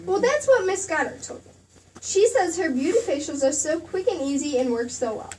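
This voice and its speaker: A gushing 1940s Hollywood gossip reporter, acted by a woman, high-pitched and chic. She delivers old radio ad banter slightly off-mic with faint noise. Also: lively intonation, moderate pace, darkish tone, recorded from a distance, low-quality recording. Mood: persuasive.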